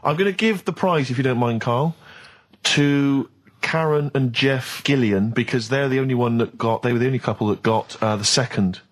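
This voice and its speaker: A man with a mid-pitched voice co-hosts a radio show. He talks in casual, off-the-cuff banter with a dry, sarcastic edge. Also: moderate pace.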